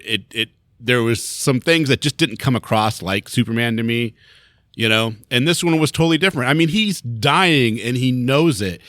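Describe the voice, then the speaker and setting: Podcast co-host, male; animated, bright tone, loose rambling delivery. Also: mid-range voice, no room sound, studio quality. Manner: rambling, laughs often, swears casually. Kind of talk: casual comics chat.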